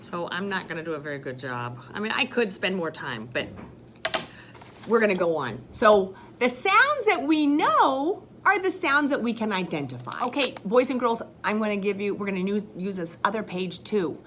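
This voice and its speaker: A woman teaching first graders in a recorded lesson, talking slowly in a sing-song way to the children, with a loud voice. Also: medium pitch, sing-song, slight background noise.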